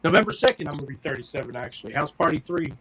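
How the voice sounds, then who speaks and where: A man in his thirties joining by phone, speaking hesitantly in halting bits. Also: on the high side, lively intonation, background noise. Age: 30s. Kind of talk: casual chat.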